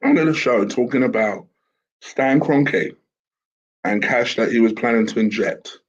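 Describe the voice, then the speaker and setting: Host, male, croaky and husky from a sore throat, low-ish voice, chatty and rambling, dull lo-fi sound. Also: unhurried. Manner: chatty, rambling with fillers and restarts. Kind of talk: casual solo commentary. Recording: dull lo-fi home recording with fan.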